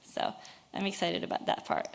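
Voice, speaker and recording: French woman from brittany, 30s, earnest and kinda nervous, lots of ums, talking to a city board. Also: normal speed. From Brittany, France. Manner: earnest, a bit nervous, many fillers. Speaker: business owner addressing a city board. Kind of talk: public comment at a meeting.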